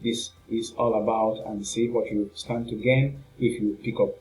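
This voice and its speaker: A man with a lowish, very soft-edged, mellow voice talks through an on-screen product review. His delivery is informal and halting, circling back over phrases as he rambles through each point. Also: flat delivery, low-quality recording. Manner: halting, repetitive, rambling narration with filler phrases.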